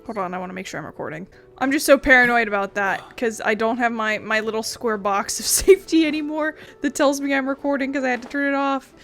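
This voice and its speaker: A woman's voice: on the high side, fast talker, vocal fry, hardly any pauses, a bit bright. A woman giving casual, chatty let's play commentary as host of a gaming video. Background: faint game music.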